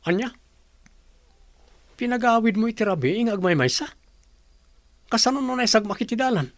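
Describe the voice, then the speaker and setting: A man's voice: sits high, animated, normal speed, no room sound. A man with a Filipino accent acting a frank young confidant in a comedy radio drama, incredulous and chiding.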